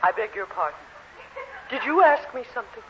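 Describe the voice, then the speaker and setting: Bossy stern matron lady, very animated, old 40s radio comedy, muffled with some hiss. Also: fairly high pitch, brisk. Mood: tipsy, muddled. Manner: imperious, emphatic. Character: stern, domineering middle-aged matron running for election. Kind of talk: 1940s radio sitcom acting. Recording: muffled, noisy 1940s radio recording.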